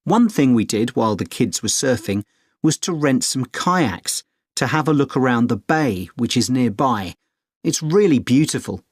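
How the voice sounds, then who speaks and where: A man's voice: mid-range voice, lively intonation, normal speed, husky, no room sound, clean studio sound. A man acting a friendly, experienced middle-aged surfer dad giving informative, encouraging advice in a scripted exam dialogue. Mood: helpful, reminiscing.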